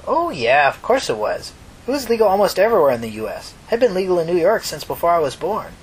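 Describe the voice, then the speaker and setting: A man's voice: sits high, big pitch swings, fast talker, breathy edge, pitched above the performer's own voice, heavily acted, poor audio. A man voicing a kindly, talkative old mother from New York in a story reading, folksy, reflective and reassuring. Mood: matter-of-fact. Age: older.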